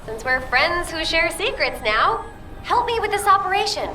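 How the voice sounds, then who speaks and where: A woman's voice: extremely high pitch, sing-song, moderate pace, bright, forceful tone, faint music behind, heavily acted. A woman playing a bubbly, impulsive and sentimental young sci-fi soldier in a video game, chirpy and playful. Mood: playful, whiny.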